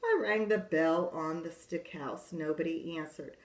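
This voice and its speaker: A woman's voice: low voice, unhurried. A woman reading as a sly wolf. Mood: protesting innocence. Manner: chatty, confiding storyteller. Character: sly smooth-talking male wolf, self-pitying. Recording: roomy echo.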